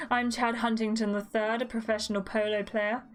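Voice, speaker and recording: A woman's voice: a little high, flat delivery, normal speed, natural voice. A woman voicing a smug, charming male polo player in a murder mystery game, pompous and suave.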